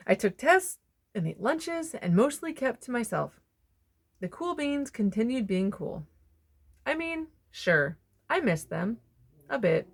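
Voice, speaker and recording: A woman reading a children's picture book aloud, expressively, with dramatic pauses and emphasis. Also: a little high. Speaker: storybook reader.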